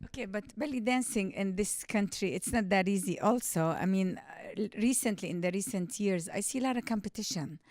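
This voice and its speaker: A woman's voice: medium pitch, fairly quick, few pauses, darkish tone, no room sound, clean studio sound. A woman hosting a TV interview show, upbeat, asking simple prompting questions. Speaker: talk show host.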